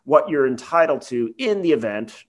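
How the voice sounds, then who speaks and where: A man's voice: medium pitch, expressive, moderate pace. A man co-hosting a podcast, explaining legal points about a film in a casual, conversational way with wry asides. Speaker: podcast co-host.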